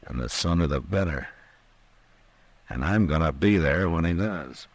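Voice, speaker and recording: An American actor playing a steady, firm frontier marshal in a radio drama, questioning in a measured, authoritative way. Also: male voice, extremely low pitch, no room sound, heavily acted. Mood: thoughtful. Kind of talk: 1950s radio drama acting.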